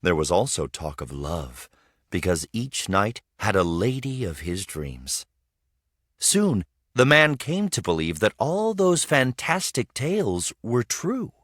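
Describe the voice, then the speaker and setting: A man reading a children's story aloud as scripted narration, with expressive, dramatic storytelling emphasis. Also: on the low side, takes it slowly, no room sound, clean studio sound. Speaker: storybook reader.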